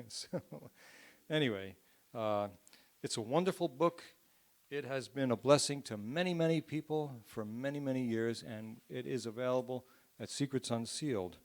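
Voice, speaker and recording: A man's voice: mid-range voice, normal speed. A man hosting a church health seminar, recommending a cookbook in a chatty way with filler words. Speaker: seminar host. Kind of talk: informal presentation at a church seminar. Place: church hall.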